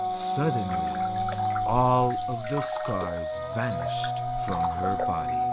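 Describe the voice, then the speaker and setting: A man reading a folktale aloud in a measured, dramatic way with deliberate pauses, over music. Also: on the low side. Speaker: storyteller. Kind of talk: dramatic read-aloud storytelling.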